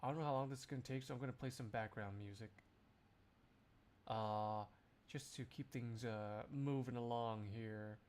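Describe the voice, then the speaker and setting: A man's voice: medium pitch, fairly even intonation, moderate pace, darkish tone, clean tone. A man livestreaming, chatting casually to his viewers in a jokey, rambling, exclamatory way. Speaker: live streamer.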